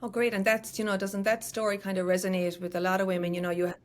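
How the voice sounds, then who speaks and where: A woman with an Irish accent hosting a podcast, framing her questions hesitantly with many pauses and fillers. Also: mid-range voice, flat delivery. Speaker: podcast host. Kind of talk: casual interview.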